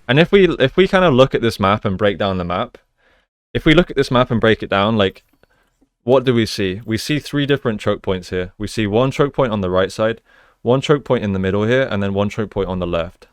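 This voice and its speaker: A man with a low, smooth voice talks through gameplay like a coach. He explains each play casually and slips into gamer slang as he goes. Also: expressive, a little fast, no echo. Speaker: esports coach and gameplay analyst. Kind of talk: live gameplay commentary and breakdown.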